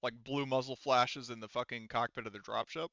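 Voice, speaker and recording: A man's voice: mid-range voice, fairly even intonation, fairly quick, gravelly, fairly bright tone, dry recording, muffled recording. A man running a tabletop roleplaying game as game master, talking through rules and action in casual, sweary language. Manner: informal, swears freely.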